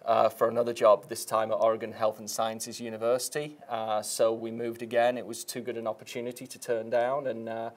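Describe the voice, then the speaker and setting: Flat-voiced Mancunian man, interview guest. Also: medium pitch, a little fast, few pauses. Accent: Mancunian English. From Manchester, England. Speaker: guest, marketing manager. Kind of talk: studio interview. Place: TV studio set.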